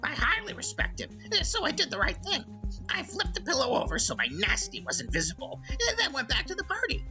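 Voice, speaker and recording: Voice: high-pitched, wildly swooping pitch, a little fast, music in the background, full character voice. An actor voicing a sly, crude male goblin who hosts a comedic radio call-in show, smirking and rambling. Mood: shamelessly unrepentant. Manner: smirking, self-justifying, rambling with drawn hesitations. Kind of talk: comedic in-character monologue and banter.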